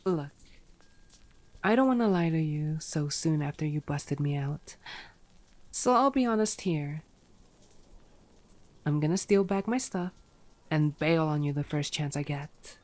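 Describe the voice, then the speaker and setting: Woman playing a cocky, teasing, slightly low-voiced vampire woman. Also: expressive, unhurried, pauses often, a little mellow. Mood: sardonic teasing. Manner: flirty, sardonic, foul-mouthed banter. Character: playful, cocky, teasing hungry female vampire. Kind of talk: ASMR roleplay acting.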